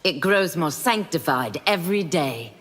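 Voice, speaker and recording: A righteous demon woman from a fighting game speaks with solemn resolve. Her lowish voice moves expressively through long reverb, with loud noise behind. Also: animated, a little slow. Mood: resolute, stern. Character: stern, righteous demon woman seeking redemption. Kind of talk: fighting game character dialogue.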